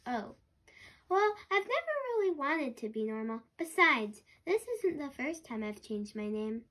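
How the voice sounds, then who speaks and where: A tiny storybook narwhal, chirps in a very high, lively voice. The creature is breezy and childlike, unbothered by anything, and takes its time over each line. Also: female voice, a little slow, full character voice. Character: cheerful carefree little narwhal, obsessed with food. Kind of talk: picture-book read-aloud character.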